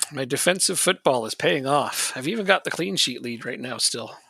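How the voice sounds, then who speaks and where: A man's voice: mid-range voice, vocal fry, bright, forceful tone, breathy edge, dry recording. A man chatting casually on a live stream while playing a football management game, rambling and joking and chuckling often.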